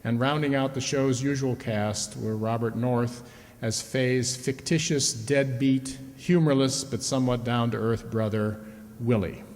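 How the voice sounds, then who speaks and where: A man's voice: mid-range voice, fairly even intonation, measured pace, darkish tone. A man giving a scripted introduction from the stage as host of a radio players' show. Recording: reverberant hall, live stage.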